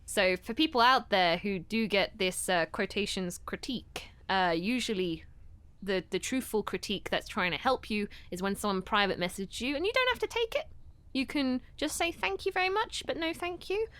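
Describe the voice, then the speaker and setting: A woman's voice: mid-range voice, moderate pace, a bit bright. A woman, a guest voice actress, giving earnest advice in casual podcast chat.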